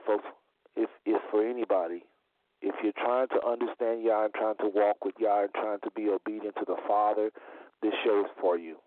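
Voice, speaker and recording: A man hosting a religious call-in show in a deliberate, repetitive way, his voice slightly under the weather. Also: lowish voice, no room sound. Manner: deliberate, repeats phrases for emphasis. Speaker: talk show host. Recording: phone-like internet radio audio.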